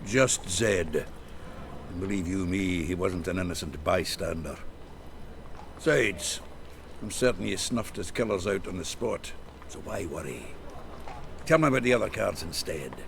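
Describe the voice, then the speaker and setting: An actor playing a gruff, earthy, hot-tempered male rogue in a fantasy video game, gravelly and blunt, full of colourful slang. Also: low voice, animated, unhurried, pauses often, noisy background, full character voice. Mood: dismissive.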